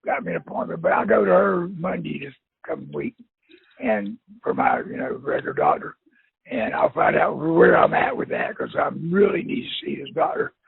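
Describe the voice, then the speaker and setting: A man's voice: a little high, huge pitch swings, moderate pace, creaky, husky. A man in his sixties, talking casually and at length on a phone call, rambling and chuckling. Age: 60s. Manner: rambling, chuckling, emphatic complaints. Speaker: insurance customer on the phone. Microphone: telephone line. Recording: tinny phone line.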